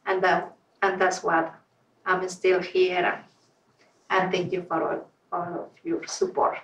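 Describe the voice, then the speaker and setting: A woman's voice: fairly low pitch, flat delivery, slow, background noise. A middle-aged woman from Peru with a Spanish accent, speaking earnestly to a club meeting and searching for words. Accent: Peruvian Spanish. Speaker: club member. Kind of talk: informal speech to a club meeting. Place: meeting room. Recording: roomy sound from a distant mic.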